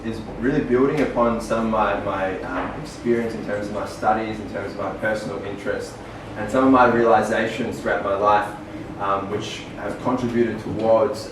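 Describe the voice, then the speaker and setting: A man with an Australian accent giving an earnest public presentation, with many ums and restarts. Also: on the low side. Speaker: speaker. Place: small venue, far from the mic. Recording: echoey room from far off, noisy.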